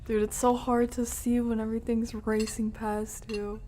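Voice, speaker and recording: A woman's voice: fairly high pitch, flat delivery, normal speed, dark tone, a little hiss or hum. A woman streaming a game with casual, chatty live commentary and mild substitute swearing.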